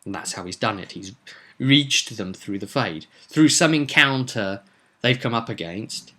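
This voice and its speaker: Bright, forceful male game master. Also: medium pitch, expressive, unhurried. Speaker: game master recapping a session. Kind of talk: informal spoken recap of a tabletop roleplay campaign.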